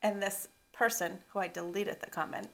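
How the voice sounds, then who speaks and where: A young woman co-hosting a podcast, chatting casually with a relaxed, rambling way of telling stories. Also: mid-range voice, normal speed, creaky. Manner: relaxed, filler-heavy storytelling. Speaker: podcast co-host. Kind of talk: casual chat.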